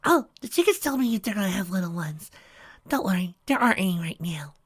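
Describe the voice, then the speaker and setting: A woman voicing a shy, gentle pegasus mare who talks to animals, speaking timidly in a soft, breathy whisper. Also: medium pitch, sing-song, fast.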